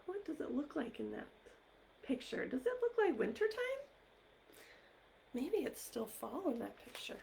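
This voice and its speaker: A woman hosting a live online story time for young children, speaking directly to them in an encouraging, gently questioning way. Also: high voice, big pitch swings, moderate pace, gravelly, pauses often, slight background noise, poor audio. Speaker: library story time host.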